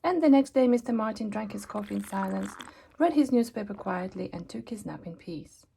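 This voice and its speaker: Mellow, creaky-voiced woman reading a storybook. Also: mid-range voice, lively intonation, a little hiss or hum. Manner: dramatic emphasis and pauses. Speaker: storybook reader. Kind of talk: expressive children's read-aloud narration.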